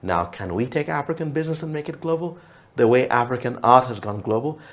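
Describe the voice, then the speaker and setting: A man's voice: medium pitch, lively intonation, a little fast. A man from Uganda whose first language is Luo, speaking reflectively as a TV talk show guest, often restarting and repeating words.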